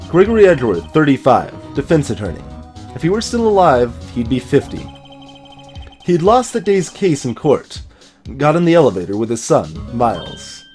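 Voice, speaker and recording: A man's voice: mid-range voice, wildly swooping pitch, normal speed, a little mellow, faint music behind. A man doing a let's play, reading game dialogue dramatically with playful, wry asides. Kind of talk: dramatic read-aloud of game script with joking asides.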